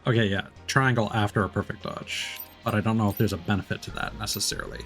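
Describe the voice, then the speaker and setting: A man's voice: on the low side, steady tone, fast talker, fairly bright tone. A man live-streaming a video game, reading on-screen text aloud and commenting as he plays. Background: faint game music.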